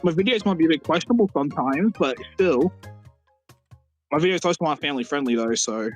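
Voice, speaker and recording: A man's voice: a little high, animated, quick pace, faint music behind. A man who stutters and repeats words, chatting earnestly on a game livestream. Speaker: co-host. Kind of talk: casual livestream chat.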